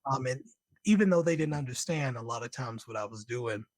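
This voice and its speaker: A man from Birmingham, Alabama, guesting on a podcast over a video call. He tells stories in an animated way, laughs often and jumps into excited quoted voices. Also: mid-range voice, a little fast, dry recording. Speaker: guest, concert composer. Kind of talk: casual conversation.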